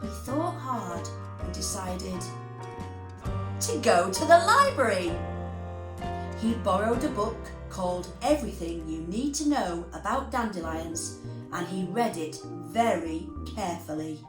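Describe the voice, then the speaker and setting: A woman presenting and reading stories to young children, speaking expressively and deliberately, addressing them directly. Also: mid-range voice, expressive. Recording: roomy echo, music underneath.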